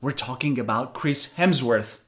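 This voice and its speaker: A man's voice: mid-range voice, unhurried, a bit bright. A man teaching an English pronunciation lesson, asking questions and answering them himself.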